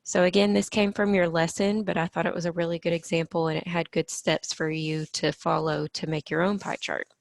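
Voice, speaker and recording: Woman, math teacher, slightly hoarse, gravelly, dry recording, patient explaining. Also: fairly low pitch, level tone, brisk, few pauses, no room sound. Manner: patient, step-by-step explaining with frequent "okay" and "so".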